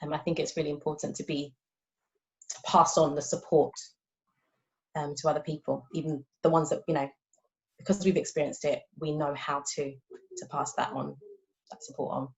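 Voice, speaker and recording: A woman's voice: low-pitched, fairly even intonation, normal speed, pauses often. A woman speech and language therapist in a casual panel discussion, talking conversationally and checking in with her listeners. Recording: dull lo-fi audio.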